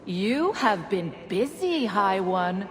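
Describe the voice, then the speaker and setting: An actress playing a solemn, foreboding messenger to a god, speaking formally and poetically in a game cutscene. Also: female voice, mid-range voice, big pitch swings, slow. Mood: grave, ominous. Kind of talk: dramatic game cutscene acting. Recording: roomy, long reverberant echo.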